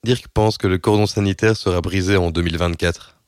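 Male podcast narrator, very deep and smooth, calm, dry close sound. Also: a little fast, dry recording. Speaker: podcast host. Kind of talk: scripted narration.

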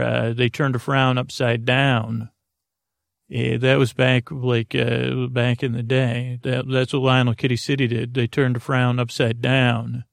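American male podcast host for bedtime monologues: creaky, gravelly voice, digressive and hesitant, very dry close sound. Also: mid-range voice, dry recording. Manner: meandering, hesitant, digressive, trailing off mid-thought. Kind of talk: rambling, conversational bedtime monologue.

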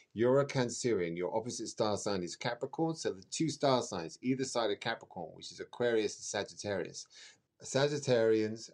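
A middle-aged man explaining things conversationally as a podcast guest, emphatic, with dramatic pauses and repetition. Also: lowish voice, darkish tone. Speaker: astrologer guest.

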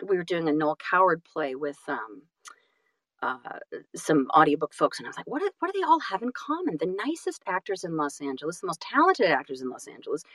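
A woman's voice: low voice, wildly swooping pitch, fairly quick, a bit bright, no room sound. A woman telling stories casually as an interview guest, self-deprecating and emphatic in manner. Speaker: guest, audiobook narrator and actress.

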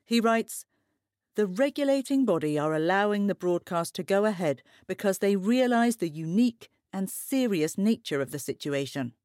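An actress playing a composed, grave news anchor in an audio drama, presenting in a formal, measured way. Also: female voice, medium pitch, lively intonation, a little mellow, dry recording, studio quality, close to an everyday voice.

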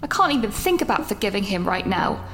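An actress playing an anxious young student who rambles through a voice note with many restarts, half whispering at first. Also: female voice, medium pitch, big pitch swings, fast talker, a bit bright, long reverb tail, soft background music. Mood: upset, rambling. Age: young adult. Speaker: university student leaving a voice note. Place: small echoey toilet room.

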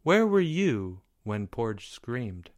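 A stern schoolboy ringleader from a storybook, voiced by a man. He speaks slowly and quietly, with grave self-importance, like someone in command of an interrogation. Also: mid-range voice, sing-song. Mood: quiet, menacing.

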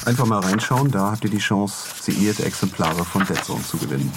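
A man's voice: fairly low pitch, fairly quick, noisy background. A man co-hosting a podcast in casual, joking conversation. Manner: joking, bantering. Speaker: podcast co-host.

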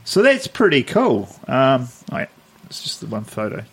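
A man co-hosting a podcast, telling stories in a relaxed way and laughing often. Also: medium pitch, normal speed, breathy edge. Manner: easygoing storyteller, laughs often. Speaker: podcast co-host. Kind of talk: casual chat.

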